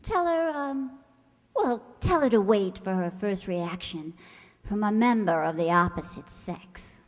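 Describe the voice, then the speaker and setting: A woman plays a haughty, scheming lady schoolteacher in a radio comedy. She drawls slowly with lively, sly swoops and condescending sweetness, in a room with a long reverb. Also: mid-range voice, lightly acted. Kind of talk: old-time radio comedy acting.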